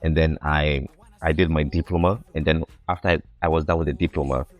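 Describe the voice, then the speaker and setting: A young man from Ghana with a low, deep voice tells his story as a podcast guest. He speaks earnestly and reflectively, often repeating a word while he searches for the next one. The sound is dry and close. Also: steady tone, fairly quick, no room sound, highs cut off. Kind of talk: casual interview storytelling.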